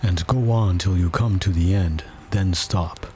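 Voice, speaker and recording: Storybook king, male reader: low, mellow, pompous and dim, weighty judicial manner. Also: a little slow, a little hiss or hum. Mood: grave. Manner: grave, self-important. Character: pompous, slow-witted old king as judge.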